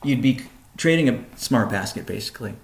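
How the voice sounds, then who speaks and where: A man presenting a company update on a conference call, explaining in a measured way with deliberate pauses. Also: medium pitch, animated.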